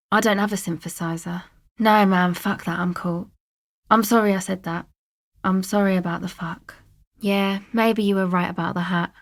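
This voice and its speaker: A woman's voice: medium pitch, close to an everyday voice. Actress as an offhand, streetwise young woman. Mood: casual, guarded, then defensive, apologetic. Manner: casual, slangy, offhand and dry. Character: young streetwise woman, guarded, laid-back slacker amateur sound recordist. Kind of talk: video game character dialogue, conversational.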